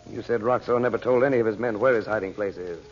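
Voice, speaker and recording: An actor playing a resolute, reassuring magician hero in an old radio drama, earnest and decisive. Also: male voice, lowish voice, fast, close to an everyday voice. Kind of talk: 1940s radio drama acting. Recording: muffled old radio recording, faint noise.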